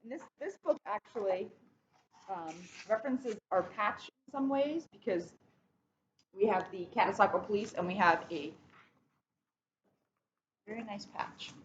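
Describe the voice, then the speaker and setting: A woman's voice: mid-range voice, measured pace, pauses often. A woman chatting casually in a playful, bantering way as she co-hosts a read-aloud. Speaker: police officer co-hosting a read-aloud. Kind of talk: casual chat. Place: coffee shop, indoors. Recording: muffled, noisy room recording.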